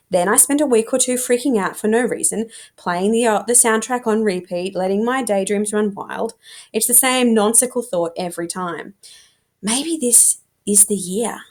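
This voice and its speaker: A woman reading a young adult novel aloud like a teacher, in an informal, expressive storytelling manner. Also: mid-range voice.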